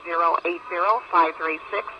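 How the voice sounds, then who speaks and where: A man's voice: a little high, steady tone, normal speed. A man with an American accent working as a police dispatcher, giving clipped, procedural calls over the radio. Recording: muffled police scanner radio, static noise.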